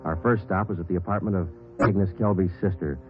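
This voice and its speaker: A man plays a deep-voiced, businesslike detective sergeant in an old radio crime drama. He fires off facts quickly in a clipped deadpan. The muffled vintage recording has music with it. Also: natural voice. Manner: deadpan, clipped, matter-of-fact. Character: terse, businesslike male police detective sergeant narrating cases.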